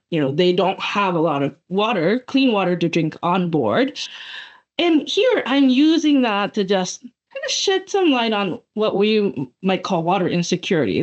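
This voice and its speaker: Animated Japanese-born woman lecturing over a call. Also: fairly low pitch. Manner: animated, chatty, frequent fillers and self-corrections. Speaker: guest speaker, associate professor. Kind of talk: webinar lecture. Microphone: computer mic on video call. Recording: dull lo-fi call audio.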